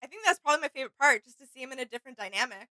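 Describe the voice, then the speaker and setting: A woman's voice: high voice, animated, rapid-fire, pushed and bright, no room sound. A woman co-hosting a fan reaction show, chatting casually in an agreeable, appreciative way.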